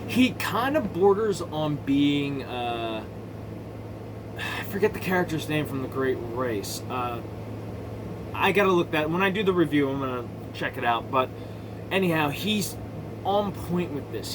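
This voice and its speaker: A man's voice: fairly high pitch, animated, a little slow, background noise. A man giving casual, rambling movie commentary in an opinionated, sweary and emphatic way. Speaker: amateur movie reviewer.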